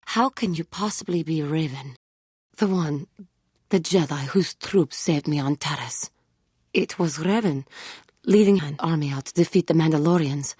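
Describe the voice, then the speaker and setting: Low, husky, tormented warrior woman. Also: darkish tone, no room sound, clean studio sound. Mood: solemn, earnest. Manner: formal, solemn, deliberate phrasing without contractions. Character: proud, tormented, repentant female fallen Jedi warrior. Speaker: video game voice actress. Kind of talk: dramatic video game character dialogue.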